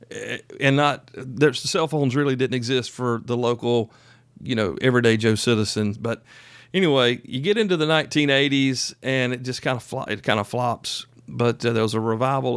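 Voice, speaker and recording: Male podcast host, 50s, American-raised, mid-pitched, folksy and chatty, casual reminiscing, very dry recording. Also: smooth, dry recording. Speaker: radio hobbyist podcast host. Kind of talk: casual informal history talk.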